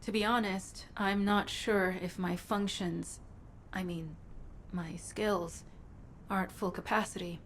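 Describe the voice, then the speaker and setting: A woman's voice: medium pitch, slight background noise, lightly acted. A woman playing a dutiful, weary but determined recon soldier in a video game, speaking sincerely. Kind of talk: scripted video game dialogue.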